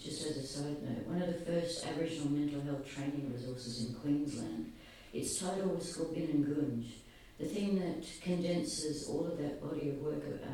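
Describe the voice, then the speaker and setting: A soft-spoken man giving an earnest, halting public talk, often pausing. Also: fairly high pitch, slight background noise. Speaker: speaker at a gathering. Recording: very echoey, distant, faint ambience.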